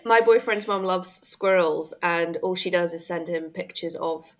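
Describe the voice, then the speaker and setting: A woman with a slightly low-pitched voice who talks in a dry, deadpan way. She is a comedian guest chatting casually on a podcast. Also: a little slow. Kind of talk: casual comic chat.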